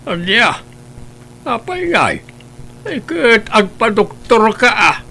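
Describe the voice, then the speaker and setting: A man's voice: high voice, very animated, slow, gravelly, pushed and bright, full character voice. A man playing a stern old grandfather. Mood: kindly. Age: older. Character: elderly grandfather, gentle and scolding.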